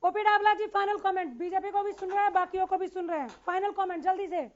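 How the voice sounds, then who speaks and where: A woman's voice: very high-pitched, fairly even intonation, quick pace. A woman hosting a live political TV debate, insistent and often interjecting. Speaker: TV debate host. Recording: dull, lo-fi broadcast audio.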